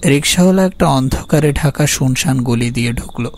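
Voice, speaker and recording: A man's voice: medium pitch, a little fast, lightly acted. A man acting as a haunted, guilt-ridden young man, narrating his horror story in a brooding, fearful way. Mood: uneasy, suspenseful. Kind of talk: scripted dramatic horror narration.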